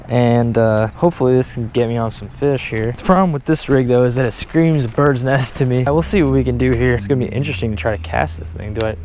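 A young man chatting casually to a head-mounted camera while fishing, talking excitedly to the viewers and to the fish he catches. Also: medium pitch. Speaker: fishing vlogger. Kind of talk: casual first-person commentary while fishing. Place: outdoors by a pond and dam. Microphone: head-mounted action camera. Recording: outdoor ambience, wind and water noise.

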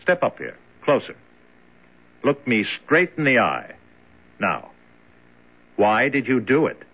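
An actor playing a cold, controlled captor and interrogator in a 1970s radio drama, speaking tersely and with command. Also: male voice, mid-range voice, sing-song, slow pace, fairly bright tone, slightly rough, full character voice. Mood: stern, probing. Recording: narrow, tinny 1970s radio recording.

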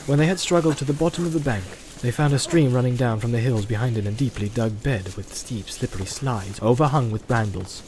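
A man reading a fantasy audiobook aloud, narrating in an even, storytelling delivery. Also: mid-range voice, fairly quick, hardly any pauses, slight background noise, low-quality recording. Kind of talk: scripted narration.

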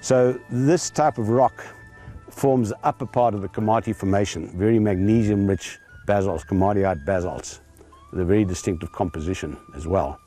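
A man's voice: lowish voice, fairly even intonation, moderate pace, mellow, soft background music. An older man, a geologist, speaking outdoors in a precise, technical way with some restarts. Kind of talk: explanatory field talk. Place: outdoors in dry bush.

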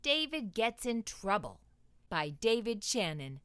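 A woman storyteller narrating a children's read-aloud in an expressive, deliberate manner. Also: mid-range voice, clear, resonant tone.

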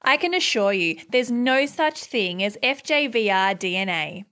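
Woman playing high-voiced officious office worker. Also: lively intonation, moderate pace, pushed and bright. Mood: officious, helpful. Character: eager, officious female office employee.

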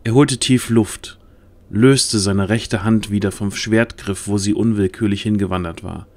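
A man's voice: fairly low pitch, fairly even intonation, a little slow, darkish tone. A man narrating a fantasy audio drama in a dramatic, scripted storytelling style. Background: music.

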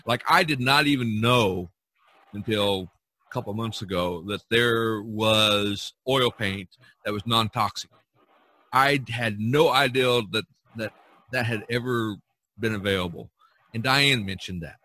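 Man, early sixties, bright forceful voice, casual podcast host, halting delivery, repeated words. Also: lowish voice, dry recording. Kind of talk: casual, rambling podcast chat.

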